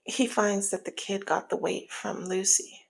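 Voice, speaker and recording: A woman playing a teasing, dominant mob boss in an ASMR roleplay, with a breathy, husky voice that often slips into a whisper and a slyly menacing, sultry manner. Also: mid-range voice, steady tone, a little slow, low-quality recording. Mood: sly, businesslike. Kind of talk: ASMR roleplay audio drama.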